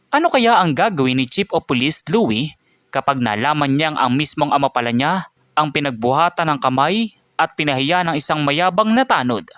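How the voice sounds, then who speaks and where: A man narrating a scripted dramatic story in a steady storytelling manner. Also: a little high, no room sound, clean studio sound.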